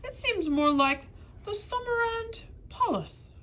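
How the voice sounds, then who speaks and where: A woman voicing a deferential, scholarly Belgian manservant in a storybook, formal and measured. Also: extremely high pitch, expressive, bright, forceful tone, voice pushed up, exaggerated voice.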